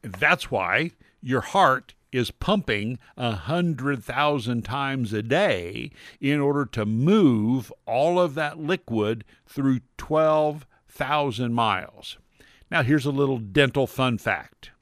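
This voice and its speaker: A man's voice: mid-range voice, lively intonation, slow, a bit bright, no echo, clean studio sound. A man hosting a radio talk show as a dentist, upbeat and playful, speaking straight to his listeners.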